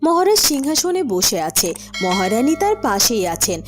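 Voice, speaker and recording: High, lively woman storyteller. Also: normal speed, faint music behind. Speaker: narrator. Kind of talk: scripted fairy-tale storytelling narration.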